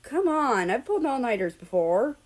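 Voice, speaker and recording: A woman voicing a playful, excitable young man on a road trip in a story reading, casual and a little bashful. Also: on the high side, moderate pace, pitched above the performer's own voice. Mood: defensive, playful.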